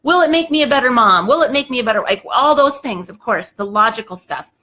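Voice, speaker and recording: A woman in her thirties or forties hosting a live show, giving a chatty, emphatic motivational talk and often saying "okay", her voice a little hoarse. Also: a little high, expressive, fast, bright, forceful tone. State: slightly hoarse, clearing throat.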